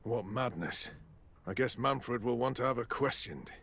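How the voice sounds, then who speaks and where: An actor playing a stern but fair military quartermaster in a video game, with a formal, authoritative manner. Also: male voice, medium pitch, unhurried, creaky, fairly bright tone, breathy edge, no echo, full character voice. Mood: stern, official. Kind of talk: scripted video game acting.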